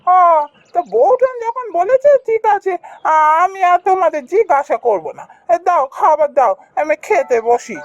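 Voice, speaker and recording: A woman's voice: very high-pitched, lively intonation, normal speed. A woman voicing a chatty, inquisitive male neighbour in a Bengali cartoon, with emphatic delivery. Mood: surprised. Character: nosy, chatty male neighbour, an eager hungry customer. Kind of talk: Bengali cartoon story dialogue. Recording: dull cartoon audio with music.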